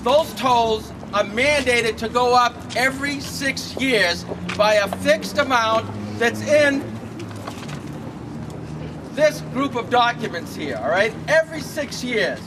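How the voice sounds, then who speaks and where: A man's voice: extremely high pitch, expressive, takes it slowly. A man giving a blunt, fact-packed speech at an outdoor rally without a microphone, stumbling over fillers and restarts. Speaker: rally speaker. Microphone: no working microphone, recorded from a distance. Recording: outdoor rally with loud traffic noise.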